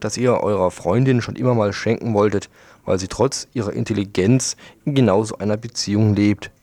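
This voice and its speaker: A man's voice: on the low side, a little mellow, no room sound. A man reading a scripted book review on the radio in a wordy, literary manner.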